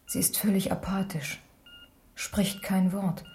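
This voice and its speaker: An actress playing a sober, clinical hospital doctor in a radio drama, speaking in a quiet, factual way. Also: female voice, medium pitch, steady tone, takes it slowly, slight background noise. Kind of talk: 1980s German radio drama acting.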